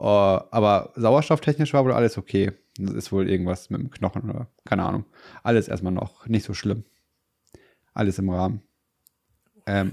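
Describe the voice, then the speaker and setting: Podcast co-host: man, low and gravelly, a bit stuffed up, relaxed and rambling. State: slightly congested from a cold. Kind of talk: casual chat.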